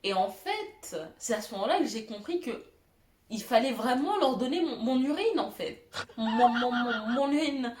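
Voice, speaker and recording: A woman's voice: on the high side, expressive, moderate pace, a little hiss or hum. Giggly young woman in an echoey room. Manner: self-mocking, giggly, many fillers. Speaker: YouTuber. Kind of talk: storytelling vlog.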